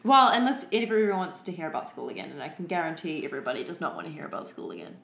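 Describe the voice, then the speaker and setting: A woman's voice: low-pitched, very animated, rapid-fire, gravelly, a crowd in the background. A tired woman co-hosting a podcast, chatting and telling stories casually in a playful, giggly way, with some swearing. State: tired after a night without sleep. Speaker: podcast co-host. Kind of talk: casual chat and storytelling between friends. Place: indoor room at home. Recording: echoey room.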